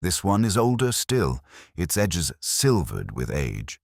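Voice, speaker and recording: A man narrating a scripted detective story in the first person, with a measured, reflective, literary delivery. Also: low voice, huge pitch swings, gravelly, dry recording, clean studio sound.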